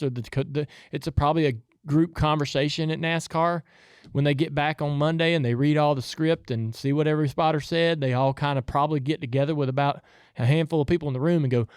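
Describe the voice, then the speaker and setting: A man's voice: fairly high pitch, smooth, no room sound, studio quality. A man with a Southern US accent chatting casually about racing as a podcast host, in a rambling, folksy, easygoing way.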